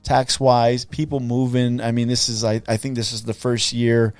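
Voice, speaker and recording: A man hosting a podcast interview, talking casually in a halting way, with many fillers and repeated words. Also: medium pitch, flat delivery, smooth, dry recording. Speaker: podcast host.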